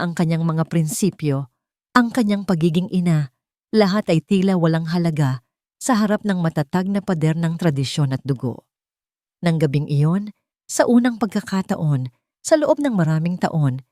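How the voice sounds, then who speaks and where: A woman narrates a scripted drama. Her voice sits low. The sound is dry, with hardly any room around it. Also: warm tone, no echo.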